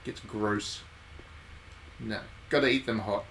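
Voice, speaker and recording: A man's voice: fairly low pitch, steady tone, takes it slowly, creaky, fairly bright tone, slight background noise. A man giving casual, wry live commentary as he streams a visual novel. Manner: wry, jokey asides. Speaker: game streamer.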